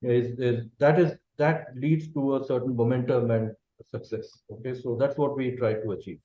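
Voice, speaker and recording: A middle-aged Indian man whose first language is Bengali, a company managing director answering interview questions thoughtfully and often checking in with a quick "okay". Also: medium pitch, fairly even intonation, dark tone, reverberant, lo-fi sound. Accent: Indian English.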